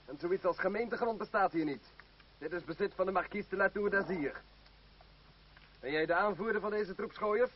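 Actor as gruff, high-pitched bullying army sergeant. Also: male voice, normal speed, close to an everyday voice. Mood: scornful, bullying. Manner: curt, commanding, scornful. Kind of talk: radio play acting. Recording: muffled, noisy old radio play recording.

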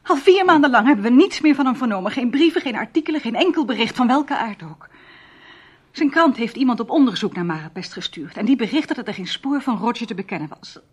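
Anxious, husky, high-voiced woman. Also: animated. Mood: troubled, anxious. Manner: hesitant, breathy pauses, repeats words. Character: anxious, fragile stage actress, sister of a missing man. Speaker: radio drama actress. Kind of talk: Dutch radio play acting.

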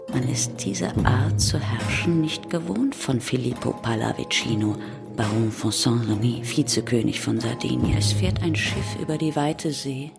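A woman performing as a grave narrator in a radio play, with measured poetic phrasing and a breathy, half-whispered delivery. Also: very deep voice, heavily acted. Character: female narrator chanting a grave tale of a plague ship. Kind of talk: literary radio drama narration, incantatory refrains.

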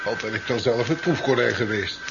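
An actor playing an unworldly, sceptical professor in a 1970s Dutch radio drama, earnest, formal and a little hesitant. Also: male voice, medium pitch, a little fast, creaky, lightly acted. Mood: earnest, insistent. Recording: slightly noisy, dull 1970s radio recording.

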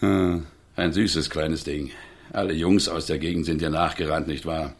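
An actor playing an older provincial innkeeper in a radio play, earthy and gossipy yet guarded, chatty with a gravelly, husky voice. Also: male voice, very deep voice, fairly even intonation, moderate pace, full character voice. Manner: chatty, chuckling, tags remarks with a questioning phrase.

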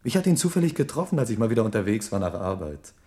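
An actor playing a proud, irritable husband with frayed nerves in a German radio crime drama, gruff and defensive. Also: male voice, fairly low pitch, big pitch swings, racing, dark tone. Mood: evasive, glum.

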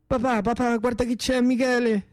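A man playing a distraught, obsessive Roman civilian haunted by war, repeating himself in a frantic, strained high voice. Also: flat delivery, moderate pace, dry recording, studio quality. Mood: excited, pleading. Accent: Roman Italian.

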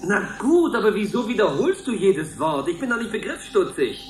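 A man's voice: high voice, sing-song, normal speed, darkish tone, reverberant, slight background noise. A man playing a touchy, exasperated supervisor in a radio drama, with a scolding, indignant manner. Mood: irritated.

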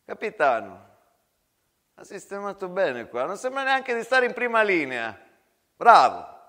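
A man playing a smug army major in a theatrical war story, speaking formally and condescendingly, with measured menace. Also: high voice, huge pitch swings, pushed and bright, in a large hall, heavily acted. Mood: falsely jovial.

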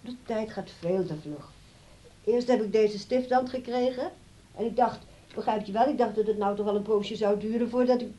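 An actress as a dissatisfied, blaming grown daughter in a radio drama. Her voice is slightly high with a dark, mellow tone. She sounds fretful and put-upon as she complains. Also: moderate pace, dull audio, close to an everyday voice. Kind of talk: radio play acting.

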